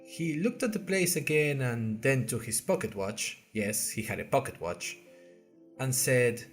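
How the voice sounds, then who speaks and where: A man's voice: mid-range voice, animated, measured pace, faint music behind. A man playing a bewildered, earnest young college newcomer, narrating an audio diary podcast in a wry, hesitant, conversational way straight to listeners. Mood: puzzled, storytelling. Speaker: narrator and podcast host character. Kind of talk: first-person audio fiction narration.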